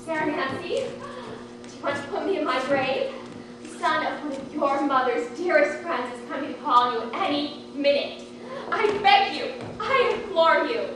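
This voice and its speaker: A woman's voice: sits high, sing-song, normal speed. A woman on stage playing a genteel, coaxing aunt with honeyed, theatrical, pleading manners. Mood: exasperated, pleading. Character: genteel, coaxing older Southern aunt drilling her niece in charm. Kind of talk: stage play acting. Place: theatre stage, recorded from far off. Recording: distant, echoey stage recording with loud noise.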